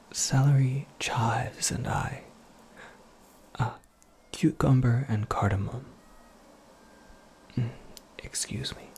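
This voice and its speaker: A performer voicing an eccentric, absent-minded witch in a breathy, creaky ASMR roleplay, rambling with wry, cryptic politeness. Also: mid-range voice, a little mellow, slight background noise. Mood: wry, reassuring. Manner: rambling, whimsical, politely formal, self-correcting. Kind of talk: soft-spoken ASMR roleplay monologue.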